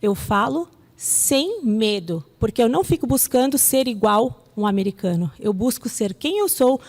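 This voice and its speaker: A woman's voice: a little high, lively intonation, normal speed, a little mellow. A Brazilian woman speaking as a teacher to an audience, conversational and emphatic, often checking in with rhetorical questions. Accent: Brazilian Portuguese. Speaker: English teacher and translator giving a talk. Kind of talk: public talk answering audience questions. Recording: echoey hall with long reverb.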